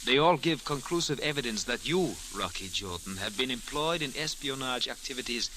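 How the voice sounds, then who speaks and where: An actor playing a suave, smug foreign spy with deliberate, menacing politeness in an old-time radio drama. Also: male voice, medium pitch, animated, bright, forceful tone. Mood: smooth, smug. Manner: suave, deliberate, with amused chuckles. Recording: noisy, hissy old radio recording.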